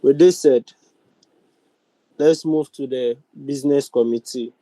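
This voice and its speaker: A young man with a Ghanaian accent chairs a formal online meeting, speaking deliberately with frequent pauses. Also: mid-range voice, fairly even intonation, mellow, no room sound, poor audio. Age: young adult. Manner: deliberate, halting, with many pauses. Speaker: speaker of a youth parliament. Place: online video call.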